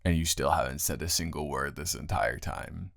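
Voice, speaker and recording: A man playing a tired, grumpy but secretly soft roommate in an improvised intimate audio roleplay, talking straight to the listener in a halting, teasing drawl. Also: extremely low pitch, creaky, dry recording, studio quality, exaggerated voice.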